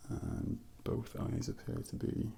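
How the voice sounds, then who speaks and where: A man speaking softly and breathily, often close to a whisper, in an ASMR doctor role-play, giving gentle, reassuring, unhurried instructions. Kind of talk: soft-spoken ASMR medical exam role-play.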